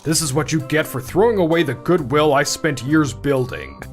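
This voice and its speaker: A man's voice: on the high side, big pitch swings, normal speed, faint music behind. A man playing an old-fashioned, reproachful middle-aged company founder and father in a manga dub, with dramatic delivery. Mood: alarmed, accusing.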